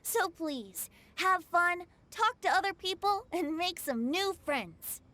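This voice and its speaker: A woman's voice: very high-pitched, lively intonation, slow, pushed and bright, heavily acted. A woman voicing a cheerful, youthful bard in a video game, earnest and playful, with a breezy, encouraging manner. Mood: warm encouragement.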